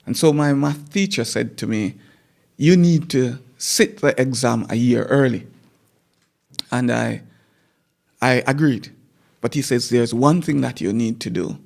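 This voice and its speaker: A man's voice: mid-range voice, gravelly, a little mellow. A man in his forties with a Jamaican accent, a teacher recalling his schooling in a conversational interview, often repeating words as he thinks back. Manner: repeats words while recalling, measured storytelling. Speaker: guest teacher.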